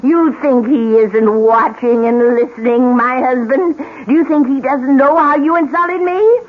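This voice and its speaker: An actress playing a bitter, menacing old widow in a radio drama, speaking in an ominous, imperious way. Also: female voice, sits high, a little slow. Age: older. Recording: muffled lo-fi radio broadcast.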